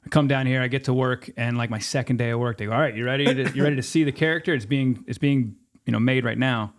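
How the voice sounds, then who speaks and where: A man's voice: medium pitch, quick pace. A man in his fifties telling stories casually as an interview guest, acting out bits of past conversations as he goes. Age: 50s. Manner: animated retelling with quoted dialogue. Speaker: podcast guest, former sports mascot performer.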